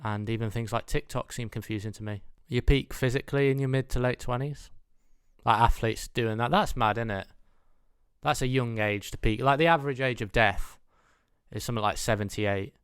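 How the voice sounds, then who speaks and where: Young british bloke rambling comedy bits, dry mock-serious, clear smooth voice, no room echo. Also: male voice, mid-range voice, normal speed, a bit bright. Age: mid 20s. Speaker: comedian, host of own show. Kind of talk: comedic monologue.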